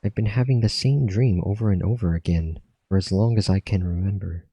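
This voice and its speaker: A man's voice: low-pitched, brisk, mellow, slightly rough, no echo. A man reading aloud game dialogue as a dreamy, restless boy who longs for the outside world. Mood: wistful longing.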